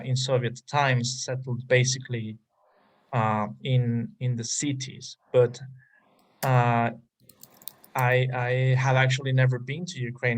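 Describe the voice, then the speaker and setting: A man's voice: medium pitch, rough recording. A male guest lecturer talks his way through an academic point on a video call. He stops and restarts often and leans on fillers as he searches for words. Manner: hesitant, many restarts and fillers. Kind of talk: academic talk and Q&A. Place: online conference call.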